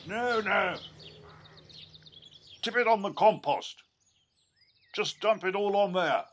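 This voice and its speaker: An actor playing an encouraging gardener in an audio play, giving unhurried instructions in short phrases. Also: male voice, sits high, a bit bright. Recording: faint noise, birdsong outdoors.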